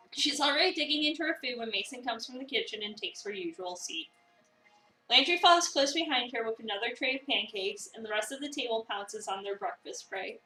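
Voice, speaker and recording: A woman's voice: on the high side, bright, forceful tone. A woman giving a dramatic narration of a visual novel, mixed with casual chat. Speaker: streamer reading a visual novel aloud. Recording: roomy, distant mic, music behind.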